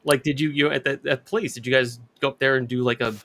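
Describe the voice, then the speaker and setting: A male podcast co-host who talks quickly at a middling pitch. His style is casual and conversational, like informal chat with an interview guest. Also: steady tone, fairly bright tone.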